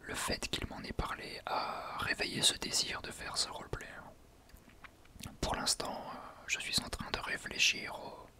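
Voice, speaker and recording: A man hosts an ASMR talk entirely in a whisper. His voice is breathy and unvoiced, with no full tone behind it. He explains things gently and a little hesitantly, addressing the listener directly. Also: measured pace. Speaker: ASMR video creator, host.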